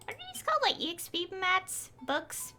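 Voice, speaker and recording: A woman chatting casually on a live game stream, excitable and rambling to her viewers. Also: very high voice, moderate pace, a little hiss or hum.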